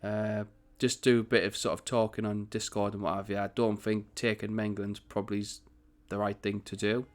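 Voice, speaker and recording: A man hosting a strategy game commentary, chatty and rambling, with a croaky morning voice. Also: fairly low pitch, level tone, moderate pace, hardly any pauses. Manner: chatty, rambling, self-correcting. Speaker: gaming channel host.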